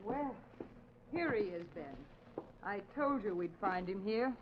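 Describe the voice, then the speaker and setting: A woman's voice: medium pitch, animated, measured pace, close to an everyday voice. An actress playing a villain's cool, wary female accomplice in an old radio drama. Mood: wary, insistent. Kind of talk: 1940s-50s radio acting. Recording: muffled old radio recording, clear noise.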